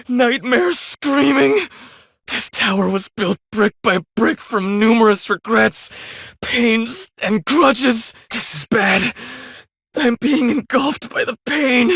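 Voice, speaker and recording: An actor playing an earnest, remorseful, devoted husband in a dramatic video game, with formal, measured, solemn phrasing. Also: male voice, very high-pitched, a bit bright, breathy edge, no echo, studio quality, heavily acted. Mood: anguished.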